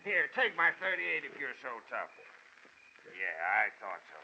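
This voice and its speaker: An actor playing a tough, bullying American gangster boss who barks slangy orders in a 1940s radio crime drama. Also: male voice, on the high side, big pitch swings, measured pace, gravelly, sharp tone, heavily acted. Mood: menacing. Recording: muffled, noisy old radio recording.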